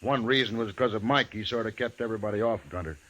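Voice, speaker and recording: Actor as low-voiced folksy frontier saloon owner. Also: male voice, fast, fairly bright tone, lightly acted. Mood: folksy, confiding. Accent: American. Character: plain-spoken, stubborn frontier saloon owner. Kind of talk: old-time radio western acting. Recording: hissy old radio recording.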